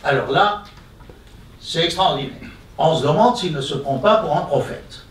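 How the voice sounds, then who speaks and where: Male professor at a conference, high voice, picked up from afar in a reverberant hall, chatty asides. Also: animated, moderate pace, a bit bright. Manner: conversational asides with frequent "hein?" and hesitations. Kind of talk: lecture at a colloquium. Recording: echoey hall from a distance.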